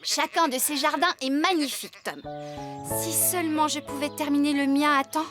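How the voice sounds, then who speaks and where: A woman playing a kindly, enthusiastic farmer in a children's cartoon, with an expressive, encouraging delivery. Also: high-pitched, moderate pace, over music, close to an everyday voice. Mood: impressed, wistful.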